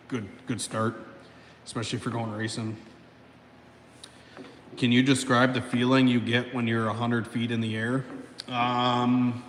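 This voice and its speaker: A man's voice: mid-range voice, a bit bright. A man in his thirties hosting a casual livestream from his home garage. He reads out chat comments, rambles, swears often and laughs between sentences. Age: 30s. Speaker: livestream host reading chat. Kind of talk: casual live-stream chat. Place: home garage, alone by a car lift. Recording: echoey garage with faint background noise.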